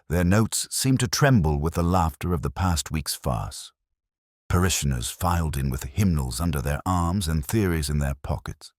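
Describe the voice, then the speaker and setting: Very deep, husky male storyteller. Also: no echo, studio quality. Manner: measured literary storytelling. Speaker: narrator. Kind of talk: scripted detective story narration.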